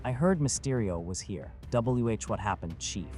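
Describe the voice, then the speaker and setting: A man playing a brisk, urgent character at police headquarters in a comic dub. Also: on the low side, animated, darkish tone, lightly acted. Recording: music behind the voice.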